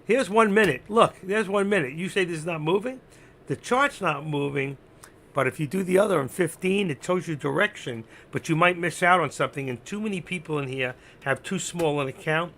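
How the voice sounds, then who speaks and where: A man with a gravelly, hoarse voice that is giving out, doing unscripted live trading commentary, thinking aloud, repeating himself and instructing his viewers. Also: a little high, a little fast, fairly bright tone. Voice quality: gravelly, creaky. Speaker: live stock-trading streamer.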